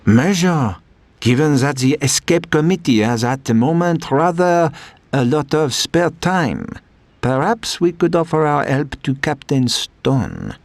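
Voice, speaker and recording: An actor playing a polite, formal French prisoner-of-war officer in a video game. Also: male voice, fairly high pitch, very animated, measured pace, dry recording. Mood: courteous. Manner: courteous, formal. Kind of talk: video game cutscene acting.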